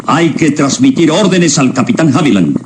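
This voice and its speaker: Give the part to a stern, stubborn military commander, acted by a man. His voice is slightly high and swings widely as he issues clipped, insistent orders. It is radio drama on a noisy recording. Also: lively intonation, normal speed, natural voice. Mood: firm, urgent.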